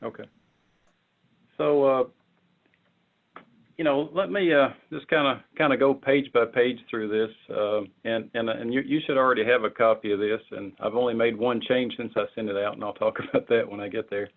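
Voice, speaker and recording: A man informally presenting on a conference call, explaining step by step in a halting way and often repeating words. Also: medium pitch, fairly even intonation. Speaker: committee member presenting proposals. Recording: muffled narrow phone-like conference line.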